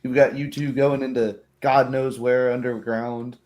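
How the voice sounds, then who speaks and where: A man's voice: medium pitch, flat delivery, unhurried, darkish tone, lightly acted. A man playing a blunt, teasing farming villager in a plainspoken, folksy way.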